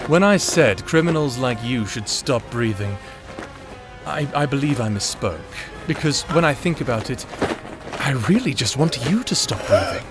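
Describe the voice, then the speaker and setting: An actor playing a vengeful, sadistic necromancer in an audio drama, taunting and gloating in a theatrical way. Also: male voice, mid-range voice, very animated, moderate pace, music in the background. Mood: quiet menace. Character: vengeful male necromancer, manic, gleefully sadistic escaped prisoner. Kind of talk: dramatic audio roleplay acting.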